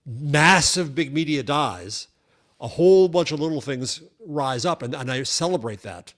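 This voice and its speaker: A man's voice: on the high side, lively intonation, a little slow, fairly bright tone. An older man appearing as a guest media journalist, arguing his views thoughtfully in casual chat, with frequent restarts. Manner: thoughtful, argumentative, stumbles on restarts.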